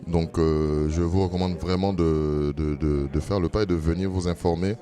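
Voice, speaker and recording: A man speaks in a deep voice with a dark, relaxed tone. He gives an unscripted participant testimonial, halting now and then and repeating words as he searches for phrases. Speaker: event participant giving a testimonial. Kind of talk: spontaneous on-camera testimonial.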